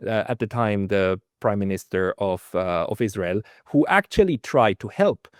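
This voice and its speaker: A man with a fairly low voice hosts an interview over a video call. He asks long questions that stumble on uhs and restarts. The sound is dry and near the mic. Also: animated, normal speed, no room sound. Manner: hesitant, questions with fillers. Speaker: interview host.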